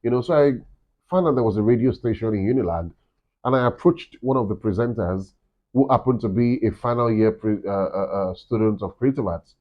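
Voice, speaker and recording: A Nigerian man with a deep voice, a campus radio director chatting in an interview and telling stories with many "you know"s. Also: a little fast. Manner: rambling storytelling, frequent "you know". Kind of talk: casual interview chat. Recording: dull lo-fi sound.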